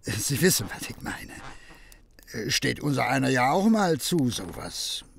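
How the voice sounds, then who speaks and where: A man's voice: mid-range voice, sing-song, unhurried, creaky, fairly bright tone, slight background noise, exaggerated voice. An actor playing a humble working-class man with a Berlin accent, rambling and hesitant, in a German audio drama. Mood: hesitant storytelling.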